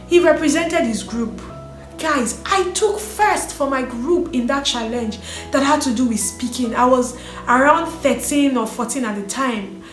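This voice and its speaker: A woman recounts her own life story, earnest and animated. Her voice sits slightly high, and she speaks in an echoey room with faint music underneath. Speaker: vlogger sharing her story. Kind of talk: personal storytelling.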